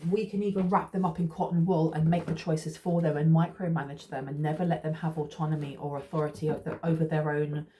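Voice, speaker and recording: Woman, tarot reader, lowish mellow voice, chatty and reassuring, lots of ums and restarts. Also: little pitch movement, fast, few pauses. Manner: rambling, reassuring, with many fillers and restarts. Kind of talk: casual spiritual tarot reading.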